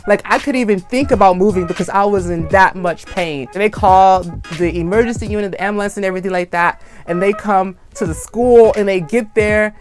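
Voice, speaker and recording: Young male vlogger, high voice, energetic storyteller who acts out every quote; light music bed. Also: brisk, few pauses. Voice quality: high-pitched voice. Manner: animated, acts out screams and quotes. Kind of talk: casual storytime.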